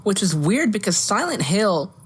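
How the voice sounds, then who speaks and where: A woman's voice: mid-range voice, big pitch swings, normal speed, slightly rough. A woman co-hosting a podcast, chatting casually about movies in a blunt, profanity-laced way. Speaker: podcast co-host.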